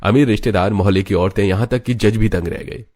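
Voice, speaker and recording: A man's voice: on the low side, brisk, a little mellow. A man narrating a scripted dramatic family story as a storyteller. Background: background music.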